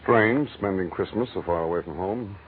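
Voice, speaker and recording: An actor playing a big, polite American stranger with a measured, insinuating manner, in 1940s radio drama style. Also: male voice, low voice, narrow, tinny audio, lightly acted. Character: tall, heavy-set, calmly insinuating American stranger. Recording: thin, faintly hissy vintage radio recording.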